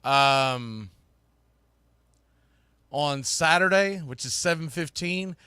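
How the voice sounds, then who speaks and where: Male sports podcast host, forceful bright tone, slightly high pitch, folksy and excitable delivery. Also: extremely slow, clean tone, dry recording, clean studio sound. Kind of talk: live sports recap and preview show.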